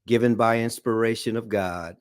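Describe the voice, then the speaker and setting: A man's voice: fairly low pitch, fairly even intonation, no echo, clean studio sound. A man teaching a Bible study lesson, reading scripture aloud and checking in often with "okay?" and "all right?". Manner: reads scripture aloud slowly.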